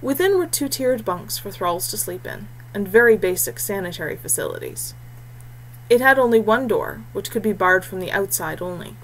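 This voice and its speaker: A woman's voice: medium pitch, moderate pace, low-quality recording. A woman reading a scripted fantasy story as an audiobook narrator. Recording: clear steady background noise and hum.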